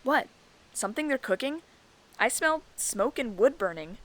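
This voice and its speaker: A woman playing a brisk, commanding leader in a fantasy audio drama. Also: fairly high pitch, animated, fairly bright tone, rough recording. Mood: questioning.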